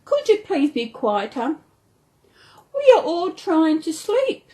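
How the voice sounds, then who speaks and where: A woman's voice: very high-pitched, wildly swooping pitch, slow pace, warm tone, pitched above the performer's own voice, exaggerated voice. A woman voicing a polite, eager little boy gumnut creature in a children's storybook. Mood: pleading.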